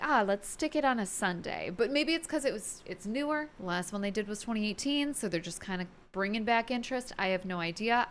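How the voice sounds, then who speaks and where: A woman talking casually and enthusiastically to viewers in an informal, slangy opinion vlog, like an excited fan. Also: mid-range voice. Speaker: wrestling fan vlogger, host.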